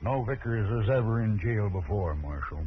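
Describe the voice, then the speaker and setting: An American actor playing a proud, stubborn, middle-aged cattle rancher with a rural western accent and a curt, folksy, commanding manner in a radio western. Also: male voice, on the low side, lively intonation, normal speed, lightly acted. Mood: proud, somber. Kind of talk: 1950s radio western acting. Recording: muffled, noisy old radio recording.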